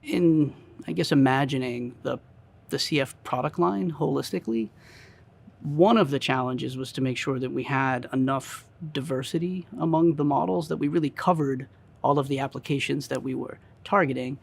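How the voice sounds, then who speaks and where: Male product expert, interview delivery, medium pitch with some gravel, deliberate and explanatory. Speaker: audio company product expert.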